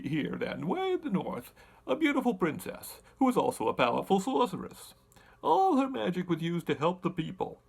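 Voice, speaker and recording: A man voicing a talkative winged monkey king who recounts his tribe's history in an animated, deliberate storybook manner. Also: high-pitched, creaky, slightly rough, heavily acted. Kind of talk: storybook character narration.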